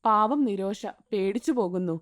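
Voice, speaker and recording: A woman narrating an animated moral story in a scripted storybook style. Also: mid-range voice, measured pace. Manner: even, storytelling tone.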